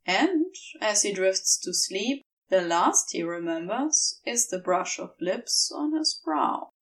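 Female fiction narrator: gentle, unhurried, highly melodic delivery, measured storytelling. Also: big pitch swings, far from the mic. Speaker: podfic narrator.

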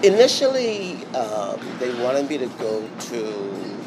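A Bahamian man giving an interview, speaking reflectively with many pauses and restarts. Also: medium pitch, gravelly, over music, rough recording. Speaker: interview guest. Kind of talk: casual interview talk. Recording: steady background noise.

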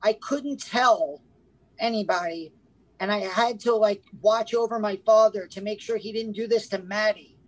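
High-voiced rambling man. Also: normal speed, poor audio. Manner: rambling, full of "like" and restarts. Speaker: podcast co-host reviewing a film. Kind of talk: casual chat.

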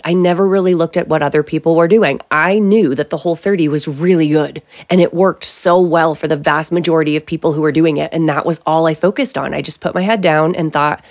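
A middle-aged woman, a company founder, answering interview questions in a confident, emphatic conversational way. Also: deep voice. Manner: confident, emphatic, lively asides.

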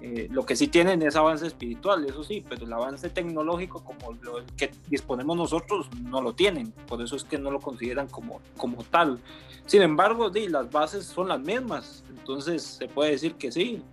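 A man's voice: fairly high pitch, animated, moderate pace, soft background music. A Costa Rican man with a Costa Rican accent, talking in a casual chat, hesitant and full of "eh" fillers and restarts. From Costa Rica.

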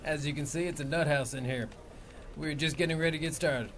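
An actor playing a brisk, businesslike male army major. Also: a little high, slight background noise, natural voice. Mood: firm. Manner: curt, businesslike. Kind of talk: audio drama acting.

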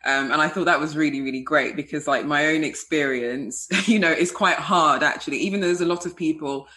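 Man, raised pitch, sharp bright tone, from Nigeria and the UK, casual co-host. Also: fairly quick. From Nigeria and, from age eight, the UK. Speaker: podcast co-host. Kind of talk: casual conversational interview.

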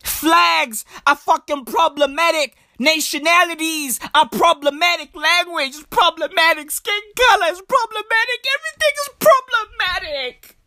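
A woman's voice: very high voice, normal speed, few pauses, bright, forceful tone, no room sound, studio quality. A woman doing a stand-up comedy rant, emphatic and profane, full of slang and repeated punchlines. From South Africa.

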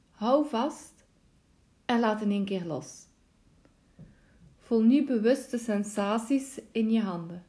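A woman guides a deep relaxation session at a very unhurried pace. Her voice sits a little high for a woman. She gives calm, soothing instructions in the measured manner of a meditation teacher. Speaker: yoga nidra instructor.

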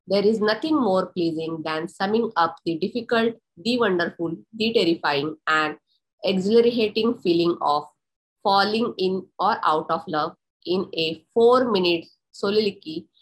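A woman host introducing her guest from a script in measured phrases, then asking interview questions. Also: fairly low pitch, fairly bright tone, rough recording. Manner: reads intro in measured phrases, slows on lists. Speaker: podcast host.

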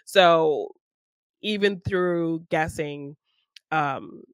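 A woman's voice: low voice, very slow, clean tone, no room sound. A woman co-hosting a casual film chat, retelling scenes in a giggly way and acting out lines as she goes. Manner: giggly, acts out characters' lines. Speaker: podcast co-host discussing films.

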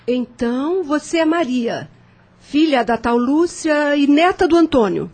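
An actress playing an elegant, mature landowner's widow in a radio drama, speaking in a formal, expressive, gracious way. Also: female voice, high voice, unhurried. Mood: poised, appraising. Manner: formal, theatrical, expressive delivery.

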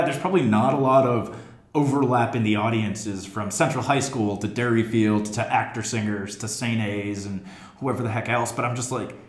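An American man co-hosting a casual podcast chat about theatre. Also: medium pitch. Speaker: podcast co-host; theatre teacher. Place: echoey indoor room. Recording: roomy, echoing podcast room.

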